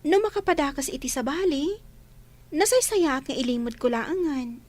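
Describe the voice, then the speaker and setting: A woman's voice: high voice, expressive, normal speed, a little mellow, a little hiss or hum. A woman acting a polite, kind-hearted young working student in a Filipino radio drama. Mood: concerned.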